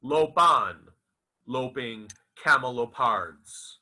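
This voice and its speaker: A man reciting his own literary fiction as its author, in a theatrical, deliberate and emphatic manner. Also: mid-range voice, lively intonation, bright, forceful tone. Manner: dramatic, deliberate, emphatic with repeated phrases. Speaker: author reading own novel aloud.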